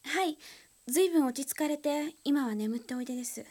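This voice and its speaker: A woman's voice: sits high, quick pace, warm tone, close to an everyday voice. A woman playing a deferential aide in a radio drama, formal and polite. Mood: apologetic, restrained. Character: deferential female aide to a powerful man.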